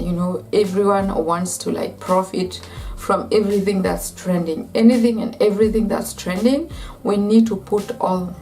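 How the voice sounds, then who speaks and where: A woman's voice: mid-range voice, creaky, darkish tone. A woman with a Kenyan accent ranting to camera as a vlogger, emphatic and repetitive, with lots of "like". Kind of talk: opinion rant to camera.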